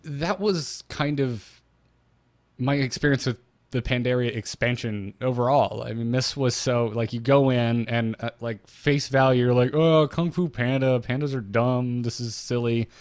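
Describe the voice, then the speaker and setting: A man's voice: mid-range voice, measured pace, a little mellow, dry recording. A man co-hosting a podcast, talking informally and with enthusiasm as he recaps and discusses game lore as a fan. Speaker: podcast co-host.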